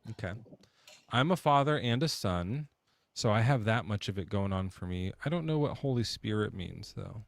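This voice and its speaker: Low-voiced joking male debate host. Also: expressive, normal speed, vocal fry. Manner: joking, sarcastic questioning. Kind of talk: casual debate call-in.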